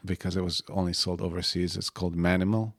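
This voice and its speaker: A middle-aged man from Israel whose first language is Arabic, speaking as an interview guest in a halting way with fillers and restarts. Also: deep voice, level tone, no room sound, clean studio sound. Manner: halting, restarts with fillers, short agreeing answers. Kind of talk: casual interview.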